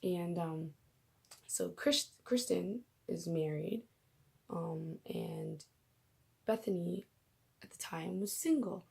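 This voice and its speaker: Young woman with a raspy, gravelly voice. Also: on the low side, wildly swooping pitch, takes it slowly, pauses often, a little mellow. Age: young adult. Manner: chatty, rambling, laughs at herself. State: coming down with a cold. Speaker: vlogger. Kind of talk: casual faith vlog. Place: bedroom.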